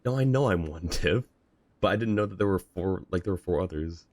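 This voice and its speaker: A man chatting casually on a game livestream in a halting, chatty way. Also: sits low, darkish tone, no room sound. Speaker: game streamer.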